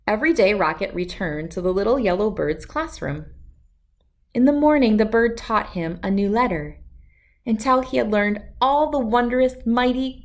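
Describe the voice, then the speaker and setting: A woman narrates a children's storybook. Her delivery is slow and deliberate. The pitch is animated and varied, giving the scripted lines a dramatic, expressive shape. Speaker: storybook reader.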